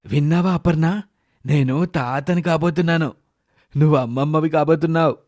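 Man playing loving, high-pitched middle-aged father. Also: fairly even intonation, normal speed, a little mellow, lightly acted. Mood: joyful. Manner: affectionate, earnest. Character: loving, protective middle-aged father and husband, soon a grandfather. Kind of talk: dramatized moral story.

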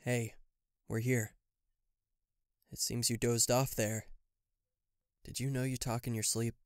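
A man's voice: medium pitch, flat delivery, slow, clean tone, dry recording. A man playing an aloof, reluctantly caring bodyguard in a roleplay audio drama, talking to the listener in a dry, guarded, mildly exasperated way. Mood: polite, professional. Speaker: voice actor.

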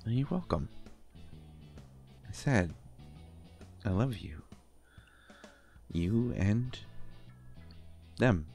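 A man playing an affectionate, bashful partner in an intimate roleplay audio, talking haltingly with stammers and gentle teasing. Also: lowish voice, lively intonation, gravelly, faint music behind, exaggerated voice. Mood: tender, bashful. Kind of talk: intimate roleplay audio acting, one side of a conversation.

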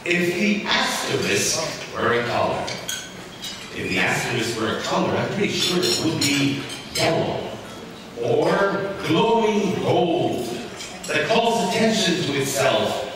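A man's voice: on the high side, expressive, takes it slowly, crowd noise. A middle-aged man giving a theatrical, playful poetry recital to a hall as a reader at an awards ceremony. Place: large dark auditorium at an awards event. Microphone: lectern microphone heard through far camera. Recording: echoey hall from far off, noisy.

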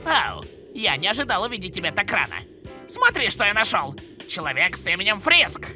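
A man voicing a loud, naive, excitable skeleton brother in a comic dub, theatrical and exclamatory. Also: high voice, a little fast, exaggerated voice. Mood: cheerful, excited. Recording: music behind the voice.

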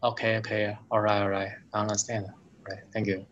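A man's voice: on the low side, little pitch movement, brisk, fairly bright tone, muffled recording, slight background noise. Hesitant Taiwanese-accented man asking questions. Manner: hesitant, many fillers and restarts, apologetic. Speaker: webinar attendee asking questions. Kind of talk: halting questions in a video call.

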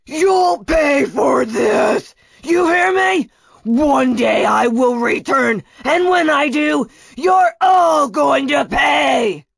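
A woman voicing a bossy, easily enraged male Tiki chief in a children's story, shouting and taunting. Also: a little high, lively intonation, slow pace, creaky, husky, no room sound, voice pushed up, exaggerated voice.

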